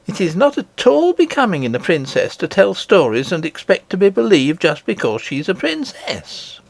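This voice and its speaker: A man voicing a sceptical, scolding woman nursemaid in a storybook, brisk, dismissive and sarcastic. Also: sits high, wildly swooping pitch, creaky, no echo, voice pushed up, exaggerated voice. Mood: cold, vexed.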